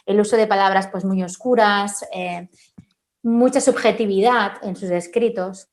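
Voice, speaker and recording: A woman forensic linguist from Spain, answering questions at a conference in an explanatory way, often tagging her sentences and filling pauses. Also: mid-range voice, fairly even intonation. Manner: explanatory, frequent "¿no?" tags and "eh" fillers.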